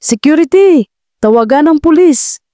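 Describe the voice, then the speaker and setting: A woman's voice: sits high, lively intonation, a little slow, dark tone, dry recording, clean studio sound, voice pushed up. A woman voicing a brave, protective young man in a dramatic audio love story. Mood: urgent command. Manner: commanding, urgent.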